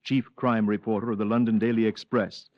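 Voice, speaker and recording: Formal old-time radio announcer, low voice. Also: male voice, little pitch movement, fairly quick, no echo. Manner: formal, declamatory. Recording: dry, dull old radio transcription.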